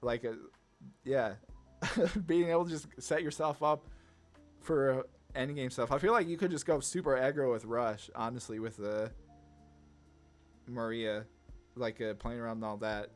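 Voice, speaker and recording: A man giving casual gameplay commentary, thinking aloud in a laid-back, slangy way. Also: mid-range voice. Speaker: card game video host. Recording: music under the voice. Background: music.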